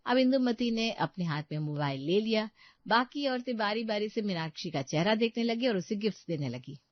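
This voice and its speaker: A woman's voice: medium pitch, lively intonation, a little fast, dry recording, muffled recording. A woman reading scripted narration for a story as its narrator.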